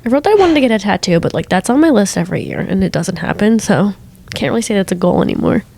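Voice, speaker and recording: Young woman, congested, podcast co-host, mid-pitched, rambling casual talk. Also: quick pace, gravelly. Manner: relaxed, rambling, filler-heavy. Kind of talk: casual chat.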